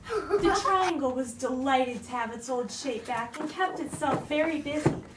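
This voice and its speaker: A woman reading a storybook aloud in an expressive, theatrical way. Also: sits high, a little slow. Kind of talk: dramatic storybook read-aloud. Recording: roomy, distant microphone with clear noise.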